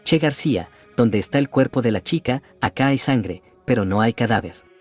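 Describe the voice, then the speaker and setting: Man acting husky, blunt Argentine policeman. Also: mid-range voice, lively intonation, normal speed, soft background music, close to an everyday voice. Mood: puzzled. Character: questioning male police officer, blunt and puzzled. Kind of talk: radio drama acting.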